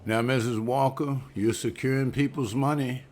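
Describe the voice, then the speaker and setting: A man reading the part of a cautious, businesslike male bank official at a script table read, in a measured, guarded manner. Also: medium pitch, fairly even intonation, a little mellow, slight background noise, natural voice.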